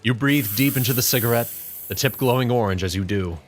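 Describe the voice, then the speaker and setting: A man's voice: mid-range voice, a little fast, faint music behind. A man narrating a scripted story in the second person.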